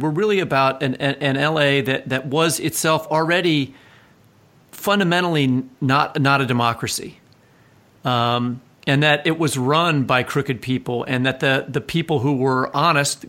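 A man's voice: medium pitch, fairly bright tone. A man co-hosting a conversational literary discussion, engaged and thinking aloud with repeated words.

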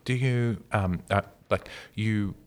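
A man with a very deep voice co-hosts a podcast in casual chat. He puts questions to his guest hesitantly, with frequent fillers. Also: takes it slowly. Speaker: podcast co-host.

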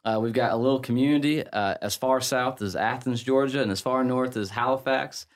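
A middle-aged man with an English Midlands accent, a priest explaining things in relaxed conversation, full of fillers and restarts. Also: medium pitch, brisk. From England. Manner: chatty, many fillers and restarts, laughs easily. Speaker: priest guest.

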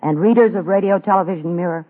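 A woman's voice: fairly low pitch, quick pace. A woman giving a formal, scripted presentation speech on 1950s radio. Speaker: magazine editor presenting an award. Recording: tinny 1950s radio recording.

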